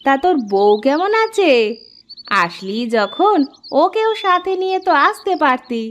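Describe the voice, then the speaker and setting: A woman's voice: very high-pitched, sing-song, measured pace, fairly bright tone, slight background noise, pitched above the performer's own voice, heavily acted. A woman voicing a kindly older village aunt in cartoon dialogue. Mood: welcoming.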